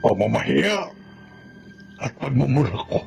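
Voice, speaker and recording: An actor with a Filipino accent playing a gentle, remorseful old grandfather in a radio drama, speaking earnestly and haltingly with a gravelly voice. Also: mid-range voice, sing-song, faint music behind, full character voice.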